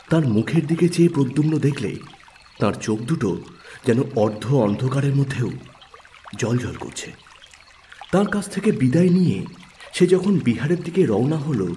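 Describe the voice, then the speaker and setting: Animated high-pitched male storyteller. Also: a little hiss or hum. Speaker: storyteller, narrator. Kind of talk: dramatic literary story narration.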